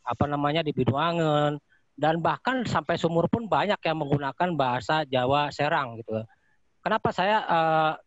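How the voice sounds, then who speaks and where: A man, his voice fairly high, adds his view in an informal, halting way. He mixes in Javanese courtesy phrases. The call audio is dry and a little muffled. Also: no room sound. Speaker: audience participant asking a question. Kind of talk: casual online discussion.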